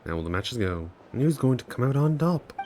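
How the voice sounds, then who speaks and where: A man's voice: medium pitch, very animated, fairly quick, darkish tone, a little hiss or hum. A man streaming a game, casually commenting and reading dialogue aloud, sometimes stumbling over words.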